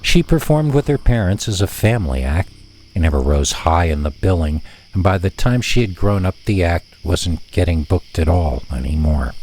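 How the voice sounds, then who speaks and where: A man's voice: low voice, sing-song, normal speed, darkish tone, a little hiss or hum, rough recording. A man narrating a scripted tale as a storyteller.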